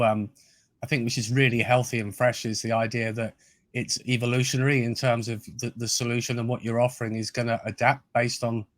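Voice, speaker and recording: A man hosting a podcast, friendly and measured, with hesitant fillers as he asks questions. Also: medium pitch, dry recording. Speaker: podcast host. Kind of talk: podcast interview.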